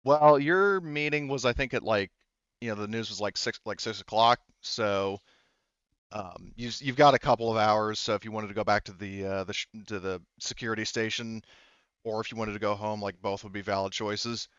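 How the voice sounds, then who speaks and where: Smooth-voiced male game master, low and rambling. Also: moderate pace, a bit bright, no echo, muffled recording. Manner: hesitant, rambling, improvised phrasing. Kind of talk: narrating a tabletop roleplaying game.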